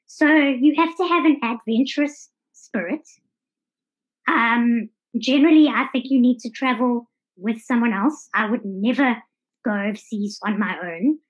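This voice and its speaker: A woman's voice: fairly high pitch, slightly rough. A woman with a South African accent giving casual, conversational answers as an interview guest. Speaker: podcast guest, traveller. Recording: dull, lo-fi audio.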